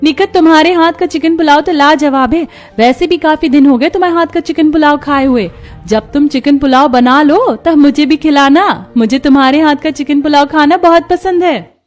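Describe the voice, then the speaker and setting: Woman putting on a very high cheerful housewife. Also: moderate pace, soft background music, close to an everyday voice. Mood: cheerful, admiring. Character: cheerful friendly housewives chatting between kitchen windows. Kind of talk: cartoon voice acting.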